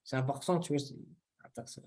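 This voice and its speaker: Halting young man from France. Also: a little mellow. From France, near Toulouse. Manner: halting, searching for words. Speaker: co-host. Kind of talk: casual discussion.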